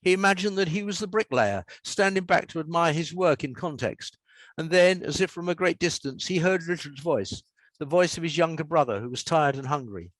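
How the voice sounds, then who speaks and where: An older man with an English accent, talking reflectively and reading aloud as an author in a measured way. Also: on the high side, no room sound. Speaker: author and radio scriptwriter. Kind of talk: relaxed talk and reading aloud from own story.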